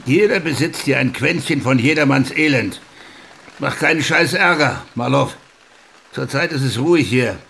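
An actor playing a gruff, foul-mouthed, ageing small-town police chief in a radio play, blunt, threatening and world-weary. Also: male voice, mid-range voice, expressive, normal speed, a bit bright, heavily acted. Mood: world-weary warning. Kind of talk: German radio play acting.

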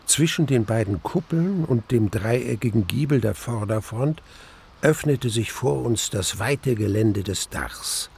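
An actor playing a wistful man recounting his past love as narrator of a German radio play, in measured, literary standard German. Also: medium pitch, lively intonation, vocal fry, darkish tone, slight background noise. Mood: wistful, tense.

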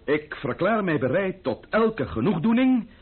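An actor playing a pompous, condescending aristocratic count in a Dutch radio play, mannered and hesitant, with a husky voice. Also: male voice, high voice, big pitch swings, measured pace, exaggerated voice. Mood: stiff, rattled. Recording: old muffled narrow-band radio recording.

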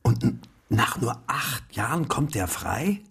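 A sleepless father in a radio crime drama. He sounds bewildered and halting. The voice is extremely breathy and husky, with heavy creak. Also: medium pitch, big pitch swings, fairly bright tone, full character voice. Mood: shaken, bewildered. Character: shaken, sleepless father seeking his missing daughter.

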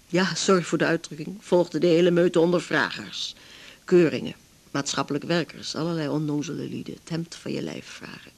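Low-voiced, lonely older Dutch widow. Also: female voice. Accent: Netherlands Dutch. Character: lonely, tense older widow consulting her doctor. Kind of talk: naturalistic 1980s radio drama acting.

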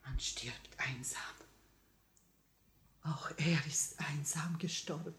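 Low-voiced, grieving, pious grand duchess. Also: female voice, fairly bright tone. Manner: solemn, pleading, drawn-out delivery. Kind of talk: 1950 radio drama acting. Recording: vintage 1950 radio recording.

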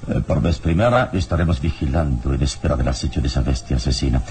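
An actor playing a calm, watchful heroic adventurer in a radio serial, speaking in a solemn, deliberate manner. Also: male voice, extremely low pitch. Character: calm, watchful heroic adventurer mentoring his young companion. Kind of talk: old radio serial acting.

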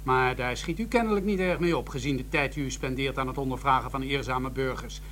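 An actor playing a defensive, indignant gossip magazine editor in a radio drama. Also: male voice, mid-range voice, brisk, fairly bright tone, slight background noise, close to an everyday voice. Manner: stiff, indignant. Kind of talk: 1990s radio drama acting.

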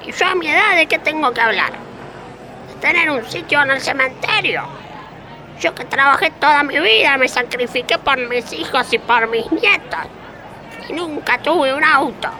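Woman playing an indignant high-voiced Argentine old lady. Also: animated, moderate pace, pushed and bright, a faint crowd, rough recording. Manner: argumentative, rambling, self-contradicting complainer. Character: indignant, talkative working old woman on a bus. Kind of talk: comic radio play acting. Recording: faint vehicle and street noise, people behind.

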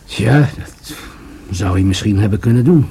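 Probing male detective, dark raspy voice, dry skeptical manner, measured questions, radio play. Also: fairly low pitch, exaggerated voice. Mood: skeptical, musing. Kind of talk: Dutch radio play acting.

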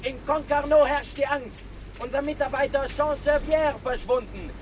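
An actress playing a young newspaper seller calling out headlines in a 1960s German radio crime drama. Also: female voice, sits high, fairly even intonation, normal speed, a little mellow, close to an everyday voice. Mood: hawking, urgent. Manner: calling out, declaiming. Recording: faint street noise behind.

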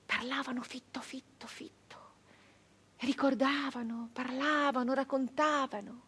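An actress performing a theatrical radio monologue as a wistful, dreamy peasant woman recalling her girlhood, with halting repetitions and a breathy delivery that moves between whispers and fervour. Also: high-pitched, fairly even intonation, a bit bright, full character voice. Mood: hushed, uneasy. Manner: halting repetitions, dropping into breathy whispers and swelling to fervent cries. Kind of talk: theatrical radio monologue, dramatic first-person storytelling.

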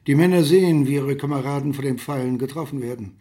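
A man's voice: mid-range voice, quick pace, warm tone, close to an everyday voice. A man playing a sober commentator, delivering scripted documentary narration in a radio drama. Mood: grave.

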